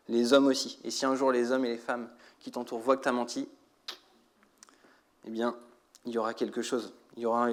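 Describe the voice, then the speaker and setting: A man preaching a sermon, exhorting his listeners with rhetorical questions and repeated phrases for emphasis. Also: mid-range voice. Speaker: church preacher.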